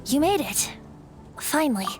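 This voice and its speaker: Boyish wind spirit bard in a game, light highish voice, whimsical n wistful, poetic, music behind. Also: female voice, big pitch swings, unhurried. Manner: lilting, poetic storyteller. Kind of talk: video game voice acting.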